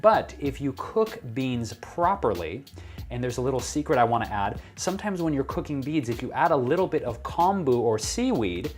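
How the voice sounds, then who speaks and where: A man's voice: medium pitch, very animated, normal speed, faint music behind. An American man presenting a recipe as a cooking show host, chatty, playful and enthusiastic in his delivery. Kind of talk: upbeat recipe demonstration to camera. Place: kitchen.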